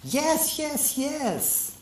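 A woman voicing a cheerful, obedient puppet child who repeats rhymes after the teacher in a slow sing-song. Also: high voice, sing-song, a little mellow, heavily acted. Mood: eager. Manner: repeats each line slowly and sing-song. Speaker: puppet pupil. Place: TV studio. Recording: roomy studio, mic at a distance.

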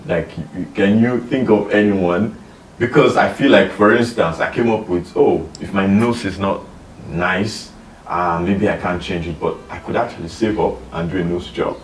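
A young man with a very low voice hosts a relaxed sofa chat, musing aloud and asking questions. His voice comes from a distance and rings a little in the room. A faint hiss is heard behind. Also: normal speed, roomy sound. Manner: thoughtful, asks questions, lots of "mm-hmm" backchannel. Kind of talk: casual discussion. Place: living room with bookshelf, indoors.

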